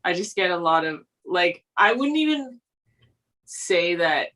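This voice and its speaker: Bright-voiced woman chatting as a guest. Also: on the low side, big pitch swings, unhurried. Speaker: guest, doll sculptor. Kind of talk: casual chat.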